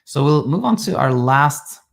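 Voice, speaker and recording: Man from Sweden, sleep coach, gravelly, mid pitch, calm reassuring advice, live Q&A. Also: unhurried. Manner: calm, reassuring, thinks aloud with restarts.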